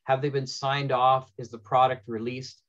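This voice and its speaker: A man's voice: medium pitch, level tone, normal speed, fairly bright tone. A middle-aged man hosting an informal panel, thinking aloud in a conversational way over a lo-fi video call. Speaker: panel host and audio drama writer. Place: indoor room at home, on a video call. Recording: dull lo-fi video call audio.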